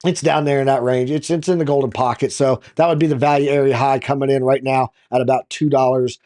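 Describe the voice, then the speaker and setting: A slightly hoarse man hosting a live market show, giving casual chart commentary and addressing viewers informally like buddies. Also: medium pitch, fairly even intonation, quick pace, dark tone.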